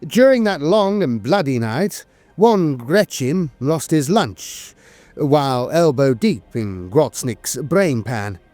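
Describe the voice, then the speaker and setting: A man's voice: fairly high pitch, sing-song, slow, darkish tone, no echo. A man reading scripted narration like a dramatic storyteller, with a wry, theatrical delivery. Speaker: lore narrator.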